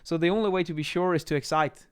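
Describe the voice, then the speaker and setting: A man in his thirties explaining as an instructor in an informal lesson, a little halting as they think aloud, recorded on a close microphone in a small room. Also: on the high side, clear, resonant tone. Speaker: acoustics instructor, presenter. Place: small home office, indoors. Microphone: microphone on boom arm, close.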